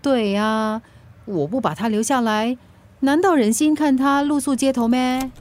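Female delivery rider: rather high voice, forgetful, playful, coaxing, colloquial Singaporean accent, radio drama. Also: measured pace, darkish tone. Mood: defensive. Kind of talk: Chinese-language radio drama acting.

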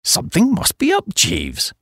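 A man voicing a flighty, excitable young upper-class English gentleman in comic audiobook narration, with breezy, slangy, exclamatory delivery. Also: sits high, measured pace, husky, dry recording, clean studio sound, voice pushed up, heavily acted. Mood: surprised, chatty. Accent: British upper-class. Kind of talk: first-person comic audiobook narration in character.

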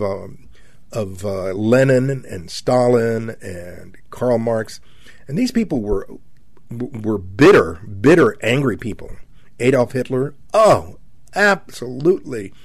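A seventy-year-old male radio host with a husky, slightly breathy voice, at a middle pitch for a man. He speaks in a folksy, rambling monologue, stopping and restarting with many fillers. The sound is dry and close, with no room around it. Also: no echo. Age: 70s. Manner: halting, with many fillers and repeated words; folksy, addresses listeners as my friends. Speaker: radio talk show host.

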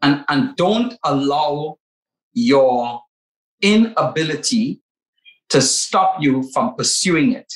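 A man's voice: a little high, animated, slow. A man co-hosting a Bible talk show, speaking emphatically and repeating words to drive his points home. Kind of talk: preacherly faith talk. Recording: roomy echo.

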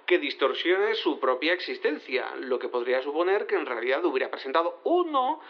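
Crafty yet awkward male attorney, lively swinging intonation, stumbling delivery, comic courtroom audio play, muffled recording. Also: a little high, brisk. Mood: awkwardly crafty. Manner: hesitant, stumbling. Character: awkward, bumbling but crafty male defence lawyer. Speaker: defence lawyer. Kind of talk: comic audio drama acting.

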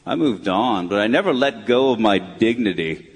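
An actor playing a weary, bitter old retired broadcaster in a live radio play, with a curmudgeonly, dismissive manner. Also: male voice, lowish voice, expressive, normal speed. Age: older. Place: town hall stage before a live audience. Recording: noisy live hall, long reverb.

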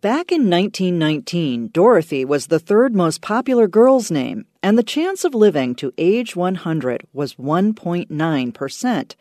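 A woman narrating a radio news feature with an expressive, storytelling read. Her pitch moves a lot, her tone is warm and mellow and slightly low, and the recording is dry and close. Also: unhurried, few pauses, no echo. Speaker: radio reporter.

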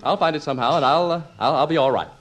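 A man's voice: a little high, racing, a bit bright, close to an everyday voice. An actor playing a flustered, stubborn, put-upon husband and father with an American accent, stammering and self-pitying in 1950s radio comedy. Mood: putting on cheer. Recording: noisy old 1950s radio recording.

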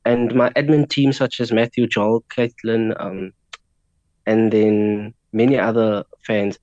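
A man's voice: lowish voice, little pitch movement, slow pace, a little mellow, no room sound, lo-fi sound. A man with a South African accent, a native Afrikaans speaker, giving earnest remarks as an interview guest. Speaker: guest, fan community founder.

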